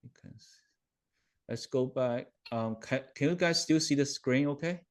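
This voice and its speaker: A man hosting a webinar, talking a live software demo through with many ums and self-corrections, often checking in with his listeners over a video call. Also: mid-range voice, normal speed. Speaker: webinar host.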